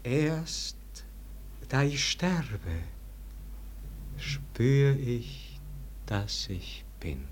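Slow, exalted dying nobleman. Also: mid-range voice, big pitch swings. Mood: fading, solemn. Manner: declamatory, theatrical, lingering over verse. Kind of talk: 1940s-50s German radio verse drama acting. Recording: old radio recording with faint noise.

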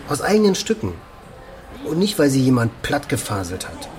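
An actor playing a frank German expatriate manager, talking informally and a little haltingly in a radio drama. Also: male voice, mid-range voice, sing-song, a crowd in the background, lightly acted. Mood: frank, resigned. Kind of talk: conversational documentary radio drama.

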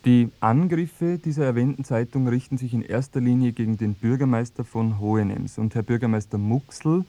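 An actor playing a sober male radio presenter, moderating a mock documentary in measured, formal, carefully built sentences. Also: medium pitch, dark tone, no room sound, close to an everyday voice.

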